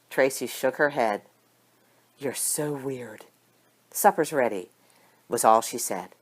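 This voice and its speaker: A woman reading a story aloud as an amateur audiobook narrator, with expressive delivery. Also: low-pitched. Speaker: audiobook reader of fan fiction.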